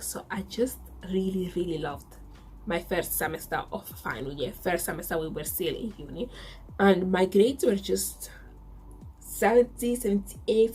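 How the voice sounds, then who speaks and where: A woman's voice: medium pitch, a little mellow. A woman in her twenties with an Italian accent, whose first language is Italian, telling a casual story to camera in a chatty way that repeats itself. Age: 20s. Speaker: vlogger.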